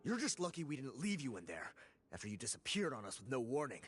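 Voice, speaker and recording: A man's voice: mid-range voice, sing-song, quick pace, lightly acted. A man playing an indignant, argumentative, hot-tempered convict in a video game. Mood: accusing. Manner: argumentative, exasperated. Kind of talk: video game dialogue acting.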